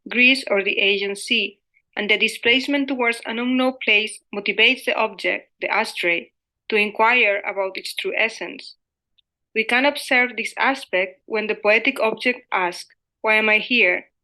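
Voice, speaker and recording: Bright-voiced woman reading an academic paper. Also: a little high, moderate pace, low-quality recording. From Spain. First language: Spanish. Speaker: academic researcher presenting a paper. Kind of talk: scripted conference presentation read aloud.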